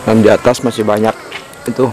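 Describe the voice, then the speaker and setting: A man's voice: on the low side, flat delivery, a little fast, a little mellow, a little hiss or hum, poor audio. A young man from Papua, Indonesia, narrating a casual birding vlog outdoors under a fruit tree, talking with enthusiasm into a handheld camera mic. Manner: enthusiastic, exclaims at what he finds. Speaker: birding vlogger. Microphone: camera mic, handheld selfie framing. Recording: background music under the voice.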